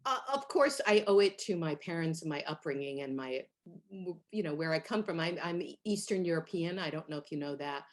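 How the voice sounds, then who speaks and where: A middle-aged woman from Hungary, a guest in an interview, telling her story in a lively way with fillers and laughs. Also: sits low, fairly quick. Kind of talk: conversational interview.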